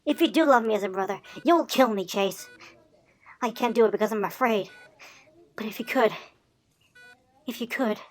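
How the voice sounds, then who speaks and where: A woman voicing a traumatized young male Dalmatian pup in an emotional cartoon fan drama, halting and anguished. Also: sits high, lively intonation, faint music behind. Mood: broken, despairing. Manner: anguished, halting, swinging between hushed and loud.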